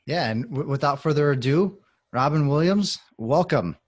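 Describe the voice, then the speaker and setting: A man hosting a podcast, conducting a casual interview in a halting, conversational manner. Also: a little high, expressive, no room sound.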